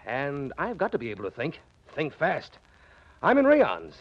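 An actor playing a chatty, self-important American executive in 1940s radio style, clipped and emphatic. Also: male voice, fairly high pitch, wildly swooping pitch, moderate pace. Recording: dull 1940s radio recording.

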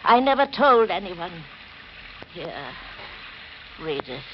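An actress playing a frightened, insistent elderly aunt in a 1940s radio mystery, with an anxious, dramatic delivery. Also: female voice, fairly low pitch, sing-song, slow pace. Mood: grave, anxious. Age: older. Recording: muffled, noisy old radio recording.

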